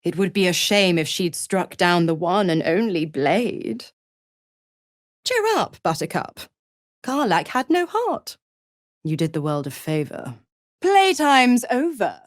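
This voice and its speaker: An actress voicing a seductive, gloating devil patron in a video game, with a silky, condescending, theatrical manner of cruel teasing. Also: female voice, mid-range voice, no echo, studio quality. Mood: mocking, gloating. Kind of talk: video game character voice acting.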